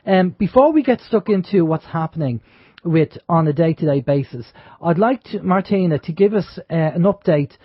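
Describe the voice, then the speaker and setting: A man's voice: on the high side, lively intonation, moderate pace, husky, no echo. An Irish man hosting an internet radio talk show, chatting casually and rambling with plenty of fillers and restarts. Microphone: studio microphone. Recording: dull, lo-fi internet radio audio.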